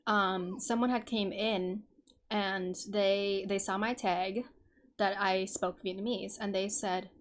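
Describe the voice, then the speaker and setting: A woman's voice: mid-range voice, steady tone, takes it slowly. A woman from the United States hosting a podcast, chatting casually and asking curious follow-up questions. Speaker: podcast host.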